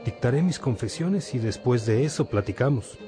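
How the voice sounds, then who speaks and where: An actor playing a calm, fatherly bishop who instructs a novice in a measured way in a religious radio drama. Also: medium pitch, music in the background, lightly acted. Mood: gentle, instructive.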